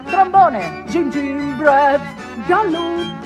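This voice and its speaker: A woman playing a flamboyant, sardonic master of ceremonies on an ocean liner, showy and declamatory, with quick dry asides. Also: high voice, animated, music in the background, exaggerated voice. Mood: showy, booming. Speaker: stage actor. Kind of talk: theatrical comic announcement.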